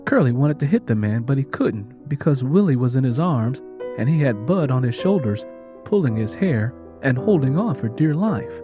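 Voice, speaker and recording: A man's voice: mid-range voice, lively intonation, moderate pace, music in the background. A man narrating a scripted audio drama as a dramatic storyteller.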